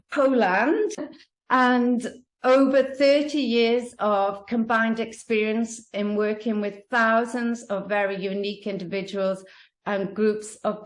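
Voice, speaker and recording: A woman hosting a podcast, introducing her guest in a measured way. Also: mid-range voice. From Australia. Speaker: podcast host. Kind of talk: podcast interview. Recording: echoey room.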